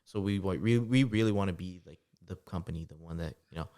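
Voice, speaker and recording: Twenties male guest, low and quick, loose chatty delivery. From United States, Salvadoran family. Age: 20s. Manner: relaxed storytelling with many fillers. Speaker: guest entrepreneur. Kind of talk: casual podcast interview.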